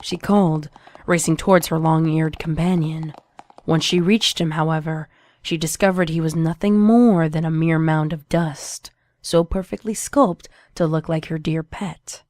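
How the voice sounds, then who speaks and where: A woman reading a scripted story as narrator, in a measured, suspenseful, dramatic storytelling manner. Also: low voice, fairly even intonation, a little mellow, dry recording. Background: music.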